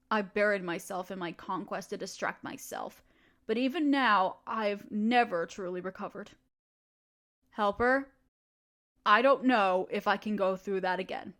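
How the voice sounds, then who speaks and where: A woman's voice: a little high, natural voice. A woman voicing a proud, cold evil queen who rules an empire, speaking in a haughty, clipped way that turns reluctantly tender. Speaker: voice actor in an audio drama. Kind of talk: audio roleplay acting.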